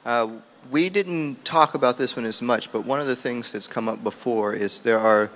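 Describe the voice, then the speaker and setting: A man's voice: medium pitch, normal speed, fairly bright tone. A man reporting back at a roundtable, informally summarizing discussion points.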